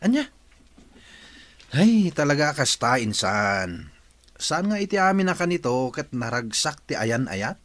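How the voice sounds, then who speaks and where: A man's voice: mid-range voice, very animated, a little slow, long pauses, a bit bright. An actor with an Ilocano accent playing a friendly, prying male relative in a radio drama. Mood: teasing, advising.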